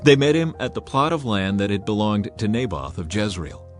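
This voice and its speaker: A man reads a Bible story aloud in a fairly low voice, steady in the narration. He lifts his pitch and volume whenever he speaks for a character in the story. Soft music plays quietly under his reading. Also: normal speed. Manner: acts out quoted speakers by raising pitch and volume. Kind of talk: dramatized scripture reading. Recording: soft music bed behind the voice.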